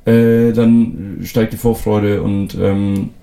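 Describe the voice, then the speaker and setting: Young Frisian man, dark mellow voice. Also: fairly low pitch, takes it slowly, vocal fry. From Föhr, northern Germany. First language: North Frisian. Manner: relaxed, many fillers and restarts. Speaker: musician guest. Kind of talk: casual interview answers.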